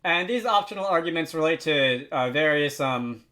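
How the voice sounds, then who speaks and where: A man narrating a software tutorial in a casual, informal way, pausing often as he explains. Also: fairly high pitch, lively intonation. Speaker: tutorial host.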